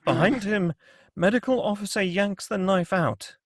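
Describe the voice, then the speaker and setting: A man narrates a screenplay at a table read, reading out action lines and scene headings. His voice sits a little high and sounds dry and close. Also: lively intonation, moderate pace, dry recording. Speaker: narrator reading stage directions.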